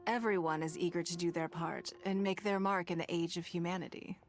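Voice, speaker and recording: An actor, a woman, playing a poised, gracious and shrewd noblewoman in a video game, composed and measured. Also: on the low side, fairly even intonation, faint music behind, close to an everyday voice. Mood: fond, gracious.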